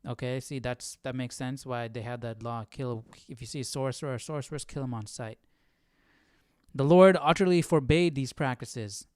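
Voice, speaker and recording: A man hosts an informal study livestream in an everyday middle-pitched voice. He thinks out loud in a casual, conversational way. The sound is dry and close on the microphone. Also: normal speed, no echo. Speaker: host of a live Bible study stream. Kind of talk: casual livestream chat with reading and commentary.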